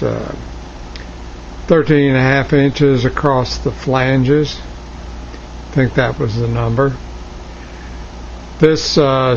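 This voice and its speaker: A man giving a casual, unscripted show-and-tell as a hobbyist, thinking aloud in a hesitant, halting way. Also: mid-range voice. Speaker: hobbyist restorer of vintage radio gear. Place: cluttered indoor electronics workshop. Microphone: handheld camera mic, behind the lens. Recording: dull lo-fi camera audio, faint noise.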